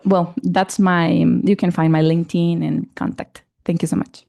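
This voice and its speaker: A woman whose first language is Spanish, giving a startup pitch at an event, pausing often and searching for words. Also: flat delivery, gravelly, mellow. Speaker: startup presenter. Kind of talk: pitch presentation. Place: event hall.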